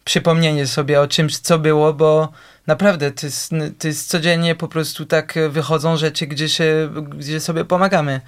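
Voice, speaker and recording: Guest, male, Polish speaker, hesitant, fills pauses a lot, smooth clear voice, a little high. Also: level tone. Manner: hesitant, searching for Polish words, many fillers. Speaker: actor, guest. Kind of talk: casual interview chat.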